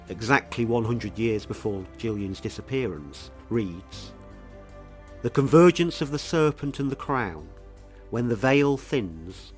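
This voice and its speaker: A man with a low voice narrates as a mystery podcast presenter, with music playing underneath. His delivery is measured and ominous, leaning on deliberate pauses to build suspense in a scripted documentary style. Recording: music behind the voice.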